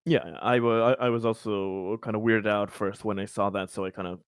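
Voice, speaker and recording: A man's voice: lowish voice, steady tone, a little fast, clean tone, no echo, studio quality. A man playing a tabletop game, casually explaining rules in an informal way.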